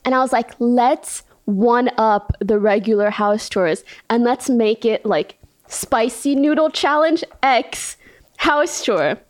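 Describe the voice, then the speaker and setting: A young woman with a high voice, chatting casually and giggling as she hosts a guest on her stream. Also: slow pace. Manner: bubbly, giggly, talks with "like" a lot. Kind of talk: casual chat while eating on stream.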